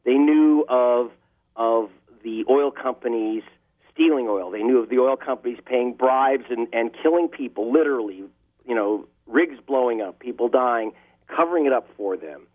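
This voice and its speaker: A man's voice: mid-range voice, normal speed. Impassioned man on a tinny phone line. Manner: impassioned, building emphasis on key words. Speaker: investigative journalist guest. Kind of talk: interview answers. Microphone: telephone line.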